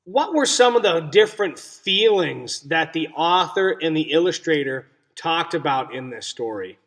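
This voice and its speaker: Male read-aloud teacher: lighter, raised pitch, leisurely delivery, explanatory, asking young listeners questions. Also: expressive, measured pace, fairly bright tone.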